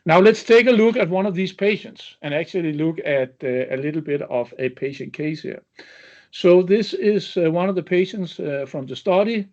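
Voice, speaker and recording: A man presenting clinical results as an executive on an investor webinar, speaking haltingly with frequent ums. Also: a little high, darkish tone. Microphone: webinar call connection. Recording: dull, band-limited webinar audio.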